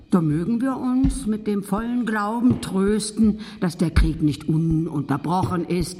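A woman's voice: lowish voice, warm tone. An actress playing a ruthless, imperious woman delivering a declamatory monologue in a radio play. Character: ruthless, commanding woman preaching survival of the strongest. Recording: long reverberant hall, faint music. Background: faint music.

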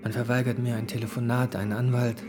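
A man's voice: mid-range voice, flat delivery, quick pace, music in the background, lightly acted. An actor playing an embittered, agitated male reporter held prisoner, in a German radio drama. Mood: indignant.

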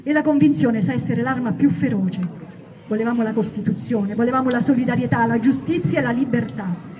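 A woman giving a theatrical reading of a first-person memoir, acting out the shouts and quoted speech. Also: fairly high pitch, brisk. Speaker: reader at a theatre event. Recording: distant mic, dull sound, audience noise.

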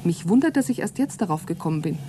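A woman's voice: lowish voice, lively intonation, quick pace, warm tone, a little hiss or hum, natural voice. A woman acting an earnest, hopeful astronaut in a German radio play, speaking in an articulate, reflective way. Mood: reflective, excited.

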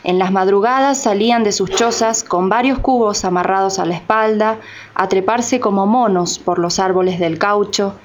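A woman's voice: medium pitch, moderate pace. A woman delivering scripted narration for a historical radio drama. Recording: dull sound with faint noise.

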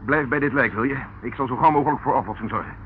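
An actor playing a brisk, insistent police inspector in a radio play, firm, commanding and businesslike. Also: male voice, mid-range voice, animated, close to an everyday voice. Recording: narrow, tinny old radio recording, faint noise.

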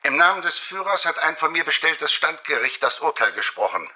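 Impatient army general, radio play, formal and curt, tense edge, slightly high voice. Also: male voice, very animated, a little fast, sharp tone, heavily acted. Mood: coldly formal. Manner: clipped, formal, commanding. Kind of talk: radio drama acting.

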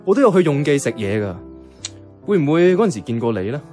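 Radio drama lead: male actor as wry, low-key young Hong Kong flatmate, animated intonation, casual narration over prominent music. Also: fast. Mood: chatty, relaxed. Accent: Hong Kong Cantonese. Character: mild, wry young man, reluctant flatmate narrating his life. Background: background music.

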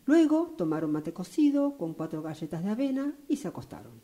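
A woman's voice: lowish voice, sing-song, a little fast, mellow. A woman reading scripted narration for a radio drama.

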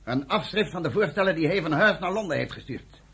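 An impatient resistance chief for radio drama: raised pitch, very husky, pressing. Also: male voice, animated, a little fast, fairly bright tone, full character voice. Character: insistent, impatient underground leader, a man. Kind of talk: Dutch radio play acting.

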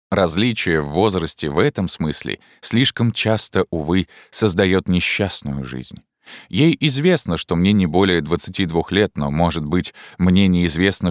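A man reading a literary story aloud as an audiobook narrator, with expressive, measured delivery and drawn-out emphasis. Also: deep voice, no room sound, studio quality.

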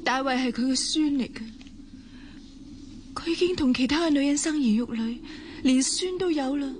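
A woman's voice: sits high, moderate pace, a little hiss or hum, natural voice. An actress playing a lonely, sorrowful immortal woman with a youthful voice in an emotional radio drama, with a Hong Kong Cantonese accent. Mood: bitter, lonely. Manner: emotional, pleading, melodramatic. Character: immortal woman in her eighties who looks twenty, lonely, sorrowful. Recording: music under the voice.

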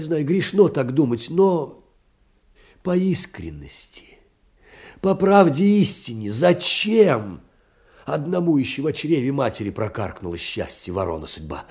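Poor meek old-fashioned clerk, husky, plaintive, voice swinging up and down a lot. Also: male voice, mid-range voice, mellow. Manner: emotional, plaintive, tender, agitated outbursts. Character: poor, meek, aggrieved clerk pouring out his heart in letters. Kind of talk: theatrical audio drama monologue.

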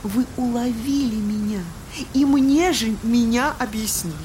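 A woman acts out an anxious, well-bred lady in a literary radio play. Her fairly high voice is breathless and fervent, full of dramatic feeling. A light hiss runs through the recording. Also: measured pace, warm tone, natural voice. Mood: shaken, fervent. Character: anxious, emotional genteel lady confessing to an elder. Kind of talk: literary audio drama acting.

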